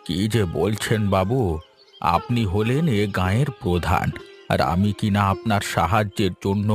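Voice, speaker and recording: A man's voice: on the low side, moderate pace, faint music behind, close to an everyday voice. A man voicing a poor, humble old villager in a fairy-tale cartoon, deferential and plaintive. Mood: humble, troubled.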